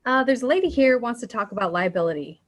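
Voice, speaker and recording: Lady reading a receptionist, sorta high voice, hesitant, lots of uh, table read. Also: animated, fast, close to an everyday voice. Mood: hesitant, helpful. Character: hesitant, helpful female office receptionist.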